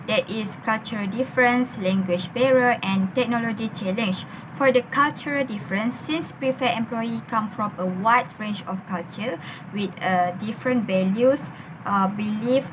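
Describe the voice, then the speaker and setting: A young Malaysian woman, a university student, giving a class presentation in a halting way, with many fillers. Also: fairly high pitch, noisy background. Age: young adult.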